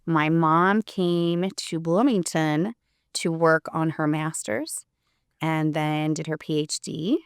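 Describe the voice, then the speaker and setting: Woman, forties, from Puerto Rico, low voice, gravelly, earnest, halting with fillers, dry close recording. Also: no echo. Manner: earnest, many "um"s and restarts. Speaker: attorney, guest. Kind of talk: casual interview conversation.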